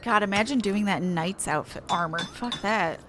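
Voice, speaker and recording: A woman's voice: mid-range voice, normal speed, background noise. A woman giving casual gameplay commentary as a streamer, reading game text aloud with wry, joking asides.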